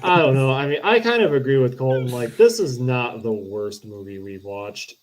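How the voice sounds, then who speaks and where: Halting man in casual podcast chat. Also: mid-range voice, sing-song, a little hiss or hum. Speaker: podcast co-host reviewing movies.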